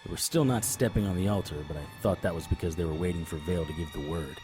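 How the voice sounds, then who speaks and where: A man's voice: low voice, quick pace, music in the background, natural voice. An actor playing a tough, determined private investigator in an audio drama, terse and serious as he narrates and speaks. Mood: tense narration. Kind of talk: first-person audio drama narration and dialogue.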